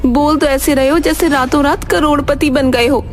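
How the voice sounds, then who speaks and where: An actress playing a dramatic, devout village wife in a children's cartoon, with a theatrical, exclamatory delivery. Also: female voice, high-pitched, fairly even intonation, moderate pace, soft background music, natural voice. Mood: scolding. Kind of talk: Hindi children's cartoon voice acting.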